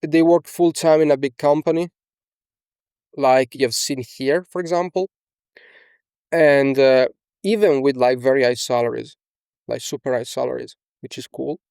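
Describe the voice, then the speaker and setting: A man's voice: mid-range voice, fairly even intonation, moderate pace, vocal fry, pauses often, darkish tone, smooth, dry recording, clean studio sound. A young man from Italy with an Italian accent, talking casually as a coach in a screen-share tutorial, often tagging on "okay?" and fillers. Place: small indoor room.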